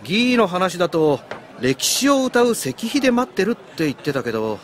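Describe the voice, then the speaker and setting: A man's voice: high voice, big pitch swings, normal speed, slight background noise. An actor playing a friendly, considerate young swordsman with a casual, apologetic manner in an anime audio drama. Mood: apologetic, explaining.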